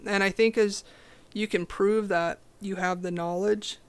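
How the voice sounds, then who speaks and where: A young woman with a low voice, talking as a podcast guest in a direct, confident, casual way. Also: measured pace, clear, resonant tone. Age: young adult. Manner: confident, direct, animated storytelling. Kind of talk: casual interview chat.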